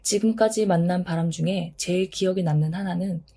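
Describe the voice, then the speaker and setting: A woman narrating a children's storybook in a calm, measured reading. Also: lowish voice, husky.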